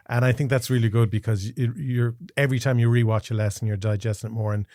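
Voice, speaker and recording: Irish man hosting a relaxed chat. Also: mid-range voice, quick pace, no room sound, studio quality. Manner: relaxed, friendly, lots of affirming "yeah". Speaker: podcast host. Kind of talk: casual interview chat.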